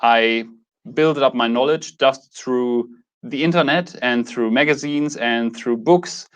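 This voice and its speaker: A man's voice: mid-range voice, slow, smooth. A man in his mid-thirties with a German accent, talking casually to camera as a YouTube host, a little hesitant and correcting himself as he goes. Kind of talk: casual talk to camera, introducing a channel.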